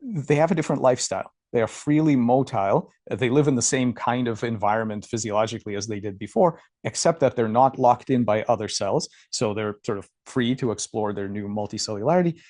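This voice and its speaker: Halting man lecturing, fading voice. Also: mid-range voice, a little mellow, no echo, studio quality. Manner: halting, many fillers and repeated words. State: losing his voice, coughing. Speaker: scientist giving a lecture. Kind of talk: academic talk over slides.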